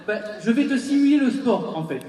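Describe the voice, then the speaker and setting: A man's voice: very high voice, lively intonation, brisk, dark tone. A man doing a stand-up bit, laughing as he mimes everyday actions. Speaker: stand-up comedian. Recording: distant, echoey open-air stage.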